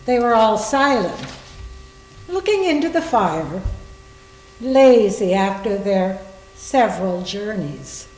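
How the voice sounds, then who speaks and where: A woman's voice: medium pitch, lively intonation, slow, pauses often, a little mellow. A woman narrating a literary story as a scripted staged reading. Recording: echoing room.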